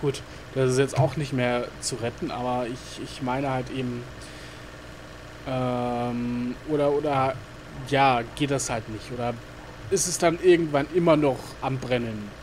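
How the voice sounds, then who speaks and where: A man's voice: medium pitch, steady tone, measured pace, noisy background. A man giving casual let's-play commentary, rambling with many fillers and muttering to himself. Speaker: gaming YouTuber.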